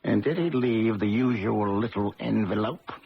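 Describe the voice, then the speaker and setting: A man playing a shifty, sardonic twin in a 1970s radio drama, with creaky, theatrical delivery on a muffled, noisy recording. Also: fairly low pitch, normal speed. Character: shifty, sardonic male twin, short of money.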